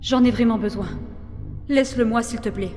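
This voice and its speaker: An actress playing a sly, determined young slave woman from ancient Greece, expressive and earnest in a French audio drama. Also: a little high, normal speed, natural voice. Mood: bitter, pleading. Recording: long reverb, loud music behind.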